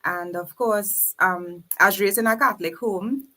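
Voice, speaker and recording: Bright-voiced Trinidadian woman. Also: mid-range voice, moderate pace. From Trinidad and Tobago. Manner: earnest, reflective, faith-filled. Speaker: guest. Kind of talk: casual podcast conversation.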